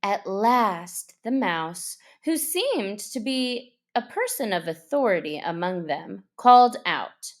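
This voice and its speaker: Very slow, expressive woman storyteller. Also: mid-range voice. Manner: expressive, deliberate. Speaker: storybook reader. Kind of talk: scripted children's story narration.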